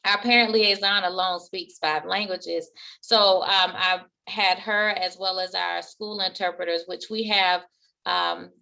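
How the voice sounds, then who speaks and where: A woman's voice: on the low side, steady tone, a little slow, vocal fry, sharp tone, poor audio. A woman school principal giving an earnest webinar presentation, speaking with pride about her school's work. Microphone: remote webinar audio.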